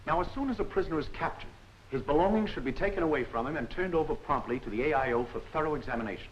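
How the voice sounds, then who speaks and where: A man's voice: a little high, animated, very fast, natural voice. A man playing a no-nonsense army captain who lectures firmly and precisely in a 1940s training film. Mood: firm, instructive. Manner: firm, matter-of-fact, precise. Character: brisk, no-nonsense male army captain instructor. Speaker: military instructor. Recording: dull, hissy old film soundtrack.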